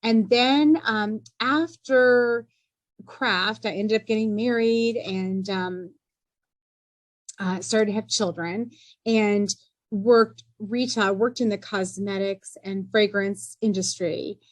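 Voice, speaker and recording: A middle-aged woman speaks as an entrepreneur guest in a podcast interview. She is earnest and measured, with frequent fillers like "you know" and "um". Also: medium pitch, fairly even intonation.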